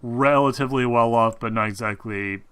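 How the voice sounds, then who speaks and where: A man narrating a tabletop roleplaying game as game master, explaining things in a halting way. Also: medium pitch, fairly bright tone, smooth, dry recording.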